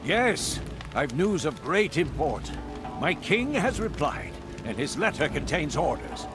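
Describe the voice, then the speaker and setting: A man's voice: fairly high pitch, very animated, measured pace, over music. An actor playing an old, duty-burdened royal agent in a fantasy game, earnest and theatrical in his delivery. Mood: grave greeting. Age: older. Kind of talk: dramatic video game voice acting.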